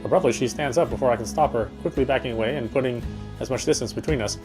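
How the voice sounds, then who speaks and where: Young male romantic lead actor, visual novel: introspective, tender, self-deprecating, brisk delivery over guitar music. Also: mid-range voice, natural voice. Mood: dejected, wry. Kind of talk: first-person visual novel narration and dialogue.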